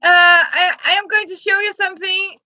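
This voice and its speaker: A woman's voice: very high-pitched, fairly even intonation, normal speed, sharp tone, dry recording, dull audio. A Danish woman from Jutland, hosting a live stream in English as a second language, chatting casually with viewers, reading their comments aloud and often restarting her words. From Jutland, Denmark.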